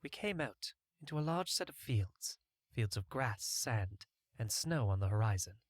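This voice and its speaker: A man's voice: fairly low pitch, expressive, measured pace, no echo, clean studio sound. A man narrating a first-person fantasy audiobook in a scripted storytelling style.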